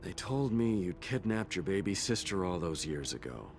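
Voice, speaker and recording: A bitter, emotionally swinging turncoat friend. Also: male voice, sits low, sing-song, moderate pace, creaky, exaggerated voice. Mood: bitter, defensive. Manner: bitter, accusing, emphatic. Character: conflicted, resentful man who betrayed his old friend. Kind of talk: video game voice acting.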